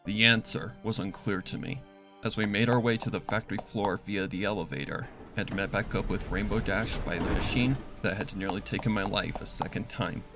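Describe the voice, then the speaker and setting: Low-voiced man narrating dark fiction. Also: steady tone, moderate pace, music in the background. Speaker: fan fiction reader. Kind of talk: dramatic scripted first-person story narration.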